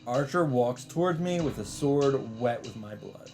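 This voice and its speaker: A man's voice: mid-range voice, lively intonation, normal speed, gravelly. A man doing a dramatic read-aloud as host of a visual novel playthrough. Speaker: let's play host reading aloud. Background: faint game music.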